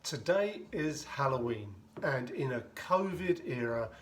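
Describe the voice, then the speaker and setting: A man's voice: mid-range voice, lively intonation, a little slow, a bit bright. Man, home bartender, casual how-to, rambling asides, dry humor, faint background hiss. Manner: narrates while mixing and shaking drinks. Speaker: home bartender making a cocktail.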